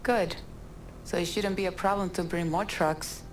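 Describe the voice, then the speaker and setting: A woman's voice: lowish voice, steady tone, normal speed, slight background noise, lightly acted. An actress playing a hard-bitten woman smuggler with a blunt, foul-mouthed manner in a game cutscene. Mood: brisk, demanding. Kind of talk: naturalistic video game cutscene acting.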